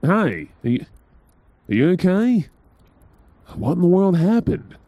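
An Australian man playing an affectionate, playful and caring husband in an intimate ASMR roleplay, soft-spoken and teasing. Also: sits high, very animated, measured pace, no echo, muffled recording, full character voice. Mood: worried, tender.

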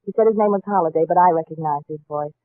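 An actress playing a gangster's needy, chatty wife in the manner of 1940s radio drama. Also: female voice, sits low, fast talker, dry recording, natural voice. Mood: anxious. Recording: muffled old 1940s radio recording, faint music.

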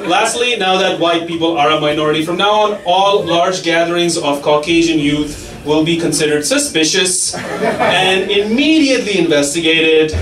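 A young man does stand-up with a handheld mic, his voice sitting a little high. He lays out his bits in a deadpan, mock-authoritative way. The sound is roomy and distant, with heavy audience laughter around him. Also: a crowd in the background. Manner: deadpan mock-authoritative delivery, quick asides. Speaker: stand-up comedian. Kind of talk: stand-up comedy set. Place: comedy club stage, audience laughing. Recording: echoey club from far off, loud audience laughter.

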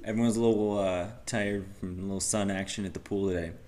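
A man's voice: sits low, steady tone, fast, dark tone, slight background noise. A young man laughing as he tells a prank story to a handheld camera indoors. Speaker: friend on the trip.

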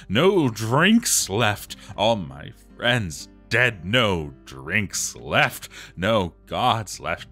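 Brief: male performer as a doomed dwarf armorer, very low voice, grave and theatrical, journal drama over background music. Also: bright, forceful tone, exaggerated voice. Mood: desperate madness.

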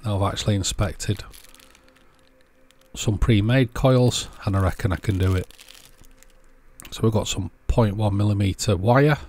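A man's voice: on the low side, unhurried, pauses often, darkish tone. A man presenting a casual how-to in a plain, understated, step-by-step way. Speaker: presenter of an electronics repair channel.

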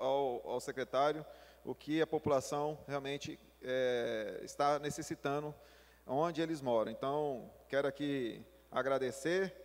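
A man in his thirties, a city councillor giving a formal speech at a podium, halting and repeating words as he talks. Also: mid-range voice. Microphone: podium gooseneck mic. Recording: long hall reverb.

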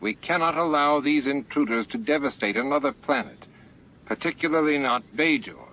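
A man's voice: on the high side, animated, normal speed, a little hiss or hum, pitched above the performer's own voice. A man voicing a grave, formal fleet admiral in a science fiction audiobook, firm and earnest. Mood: stern. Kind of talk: audiobook character dialogue. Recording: muffled lo-fi audiobook with music.

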